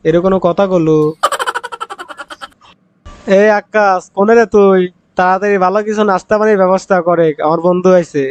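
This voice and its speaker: A man's voice: sits high, steady tone, normal speed, long pauses, smooth, dry recording, lightly acted. A man voicing an eager, henpecked young village friend in a comic cartoon. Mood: surprised, welcoming. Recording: dull lo-fi audio, music underneath.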